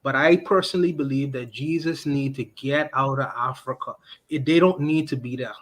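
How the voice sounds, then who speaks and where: A man's voice: mid-range voice, moderate pace. A man co-hosting a livestream podcast, giving his opinion emphatically and repeating his points with frequent restarts.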